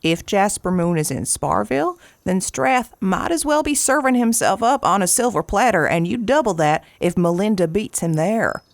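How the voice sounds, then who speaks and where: An actress playing a folksy, wryly affectionate small-town horse owner in an audio drama, coaxing and unhurried in manner. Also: female voice, medium pitch, animated, gravelly, dry recording. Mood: persuasive. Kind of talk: naturalistic audio drama acting.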